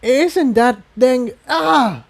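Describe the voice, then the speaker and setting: A man's voice: very high voice, expressive, drawn-out words, vocal fry, no echo, pitched above the performer's own voice, heavily acted. A man voicing an anxious, questioning young male dragon with a thin, strained voice in a story reading. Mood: anxious, pained.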